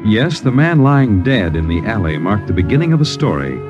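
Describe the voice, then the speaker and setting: An actor playing a grave, ominous narrator of a 1950s radio suspense drama, speaking in a deliberate, dramatic way. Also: male voice, lowish voice, sing-song, over music. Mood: wry, portentous. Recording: dull old radio broadcast.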